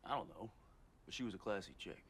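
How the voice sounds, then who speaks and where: An actor playing a cocky, needling older brother in a TV drama. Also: male voice, lowish voice, animated, fast, fairly bright tone, slight background noise. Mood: defensive. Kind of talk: TV drama acting.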